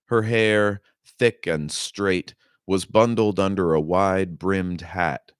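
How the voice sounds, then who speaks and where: A man with a very low voice narrates fiction like an audiobook. He reads at an unhurried pace with measured, dramatic phrasing, and the sound is dry with hardly any room in it. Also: steady tone, smooth, dry recording. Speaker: author reading own novel.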